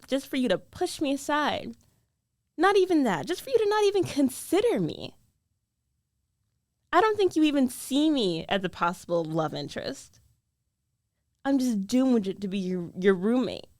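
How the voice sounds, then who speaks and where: A woman's voice: a little high, dry recording, clean studio sound, close to an everyday voice. A woman acting a jealous, defensive young roommate who is secretly in love, in an emotional, conversational ASMR roleplay. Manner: emotional, conversational, pleading and protesting. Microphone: close studio microphone, dry.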